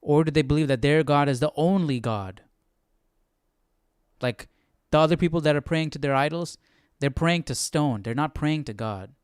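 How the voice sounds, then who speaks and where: A man hosting a Bible study, talking casually and reflectively, often thinking out loud and posing questions. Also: fairly high pitch, moderate pace, clean tone, no room sound, studio quality. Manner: musing aloud, questioning. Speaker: host of a live Bible study stream.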